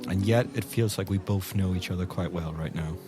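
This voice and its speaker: A man's voice: low-pitched, a little fast, mellow, soft background music, close to an everyday voice. A man playing a polite, persistent investigator with a hesitant, dry manner in an improvised tabletop game. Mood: insistent, dry. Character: polite, persistent male investigator named Dolan.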